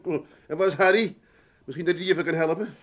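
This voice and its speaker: An actor playing an exasperated, scheming middle-aged Amsterdam son in a comedy radio play, bossy and wry. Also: male voice, a little high, fairly even intonation, fairly quick, dull audio, lightly acted. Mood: wry, grumbling.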